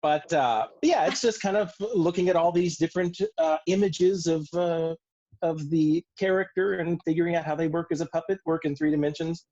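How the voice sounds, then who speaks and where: Soft-sell tentative speaker, mid pitch, casual chat, muffled lo-fi call audio. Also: moderate pace. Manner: tentative, self-effacing. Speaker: puppet builder. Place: remote virtual call.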